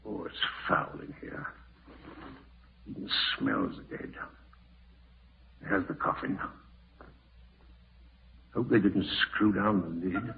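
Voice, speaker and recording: A callous, irritable schemer in an old-time radio horror play. His low voice swings dramatically in pitch as he mutters and snaps. The broadcast is tinny, with some hiss. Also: big pitch swings, slow, vocal fry, long pauses, exaggerated voice. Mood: uneasy, muttering. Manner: muttering to himself, melodramatic, snappish. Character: scheming, callous male relative plotting murder, panicking and irritable. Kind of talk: 1940s radio horror acting.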